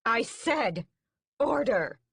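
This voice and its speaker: A woman's voice: mid-range voice, big pitch swings, measured pace, dry recording, pitched above the performer's own voice. Brief: a woman doing a centuries-old vampire queen, haughty and scheming, cool drawl, close dry read. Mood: commanding. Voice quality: cool, regal, mocking drawl. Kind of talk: audiobook character voice.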